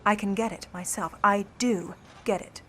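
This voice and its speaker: A woman's voice: mid-range voice, steady tone, moderate pace, fairly bright tone, natural voice. A woman as a cool, haughty scheming starlet. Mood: bitter, proud. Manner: haughty, controlled, cutting formal delivery. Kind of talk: old-time noir radio play acting.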